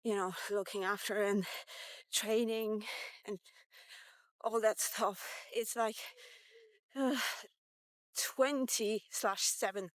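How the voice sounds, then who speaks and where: A woman in her fifties talking candidly and reflectively in a casual, halting vlog monologue, with some swearing. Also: mid-range voice, fairly even intonation, dry recording. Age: 50s. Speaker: vlogger.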